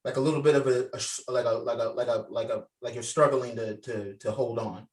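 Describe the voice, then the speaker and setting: A man casually directing a script read over a video call, halting and repeating his phrases as he gives instructions. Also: mid-range voice, fairly even intonation, darkish tone. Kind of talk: casual, jokey group direction. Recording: distant-sounding video call audio.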